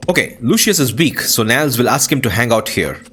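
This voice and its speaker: A man's voice: mid-range voice, animated, a little fast, fairly bright tone, breathy edge. A man hosting a gaming video with casual playthrough commentary, reacting aloud as he plays.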